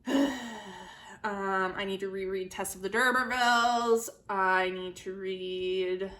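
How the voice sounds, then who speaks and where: Young woman with a bright voice. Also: mid-range voice, fairly even intonation, slow. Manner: chatty, giggly, self-deprecating. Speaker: book vlogger, channel host. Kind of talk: casual chatty book vlog.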